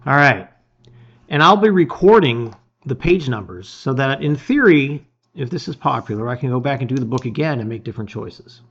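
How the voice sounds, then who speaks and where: A middle-aged man with a mid-range voice hosts a read-aloud. He speaks expressively and leans on key words, then lets phrases fall away softly. The recording is a little dull and lo-fi. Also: medium pitch, animated, normal speed. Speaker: host reading a gamebook aloud. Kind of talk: casual intro and dramatic read-aloud of storybook narration. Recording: slightly dull, lo-fi sound.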